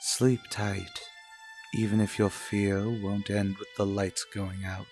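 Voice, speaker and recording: A man's voice: lowish voice, little pitch movement, slow, darkish tone. A man playing an ominous, knowing narrator of a radio soap, giving dramatic scripted narration over music.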